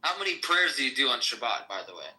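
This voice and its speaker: A man's voice: mid-range voice, sing-song, fast talker, sharp tone, low-quality recording. A man from Israel arguing in an online chat room, combative and mocking. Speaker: participant in an audio chat room.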